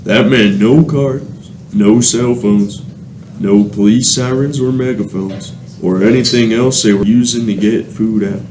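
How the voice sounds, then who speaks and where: A man's voice: on the low side, a little slow, natural voice. A man narrating a radio play in a scripted, dramatic storytelling style. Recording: echoey room, faint noise.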